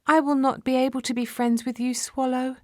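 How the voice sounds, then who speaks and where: A woman's voice: high-pitched, level tone, fairly quick, no room sound, clean studio sound, pitched above the performer's own voice, lightly acted. A woman voicing a tiny, sweet, tender-hearted fairy-tale girl who speaks with earnest emotion. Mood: tearful.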